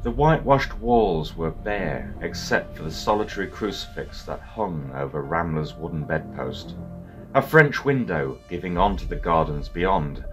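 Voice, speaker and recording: A man narrating a dramatic scripted story in a measured, portentous manner. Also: sits low, lively intonation, a bit bright. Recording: voice over music.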